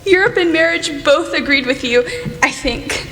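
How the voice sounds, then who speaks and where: A woman's voice: high voice, normal speed, lightly acted. A young woman acting in a student radio play as an earnest, spirited young writer. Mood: bright, insistent. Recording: noisy hall with long reverb.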